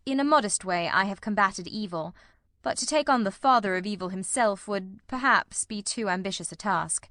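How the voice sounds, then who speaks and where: A woman plays a keen, commanding detective in a storybook reading. The delivery is formal, analytical and inquisitive, at a medium pitch for a female voice, in a dry recording with no room sound. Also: normal speed, bright, forceful tone, no echo, natural voice. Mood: wry, questioning. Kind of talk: audiobook character reading.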